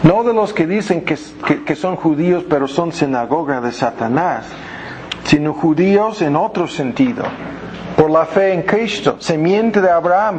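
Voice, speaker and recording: A man's voice: fairly high pitch, darkish tone, a little hiss or hum, poor audio. A male pastor preaching a sermon, reading verses aloud and quizzing his congregation as he explains them word by word. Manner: explains word by word with frequent asides. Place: church hall with congregation.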